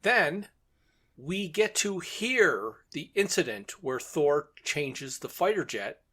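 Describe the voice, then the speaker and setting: A man with a somewhat high, very bright voice co-hosts a podcast. He talks casually, retelling and commenting on a story as he would in conversation. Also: very animated, slow, breathy edge. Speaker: podcast co-host. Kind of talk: casual discussion and retelling of a radio drama.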